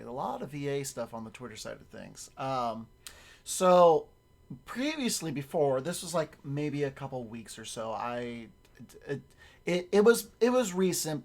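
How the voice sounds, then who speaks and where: Raspy-voiced man chatting casually on stream. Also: on the high side. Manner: rambling, self-correcting, swears casually. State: raspy, strained voice. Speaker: streamer and voice actor.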